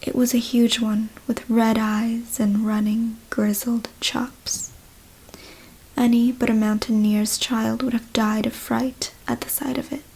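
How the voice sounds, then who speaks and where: A woman reading a story as ASMR in a soft, breathy whisper, hushed and deliberate. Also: fairly high pitch, flat delivery, rough recording. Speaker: ASMR reader.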